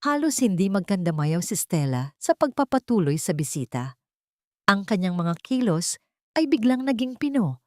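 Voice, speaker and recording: A woman narrating a dramatic scripted story in a solemn, emotive, measured manner. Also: on the low side, dark tone, no room sound, clean studio sound.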